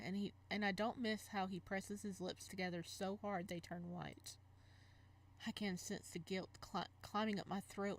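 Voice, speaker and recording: A woman reading a visual novel aloud and commenting casually as she plays, expressive and reacting out loud, sometimes stumbling over the text. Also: mid-range voice. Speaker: game streamer, let's player.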